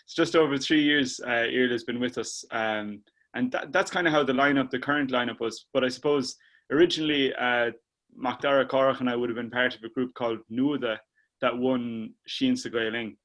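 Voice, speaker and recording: A man's voice: mid-range voice, steady tone, moderate pace, fairly bright tone. Irish guy from a trad band on a video call, laid back, keeps correcting himself. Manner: easygoing, self-correcting with a laugh. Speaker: band member. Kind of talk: casual interview chat.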